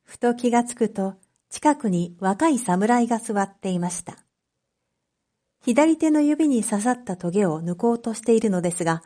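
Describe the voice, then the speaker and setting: A woman reading a story aloud as a narrator, in a measured, clearly phrased storytelling manner for reading practice. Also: medium pitch, dark tone. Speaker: narrator, Japanese reading teacher.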